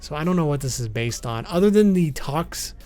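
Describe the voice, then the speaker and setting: A man hosts an explainer video in a fairly high voice that moves up and down a great deal. His delivery is informal and matter-of-fact. Music plays behind his narration. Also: lively intonation, fairly quick, a little mellow. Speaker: video host. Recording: background music under voice.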